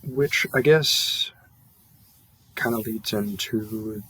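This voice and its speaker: A man's voice: fairly low pitch, drawn-out words, sharp tone, husky, poor audio. A man who makes audio roleplays, talking casually and off the cuff to listeners in an earnest, hesitant way with trailing asides. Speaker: audio roleplay creator and performer. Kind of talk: casual, unscripted thank-you to listeners.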